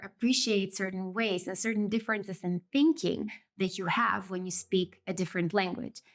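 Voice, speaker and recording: Thoughtful Russian-accented woman hosting a podcast. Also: mid-range voice. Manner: thoughtful, measured, self-correcting. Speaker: podcast host. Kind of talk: reflective solo podcast monologue.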